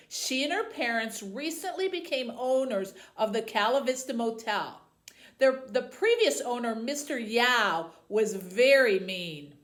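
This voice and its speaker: A woman presenting and reading aloud as a librarian for tweens, in a deliberate, presentational way. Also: a little high. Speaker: youth services librarian hosting a book program. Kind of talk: book talk and read-aloud for tweens.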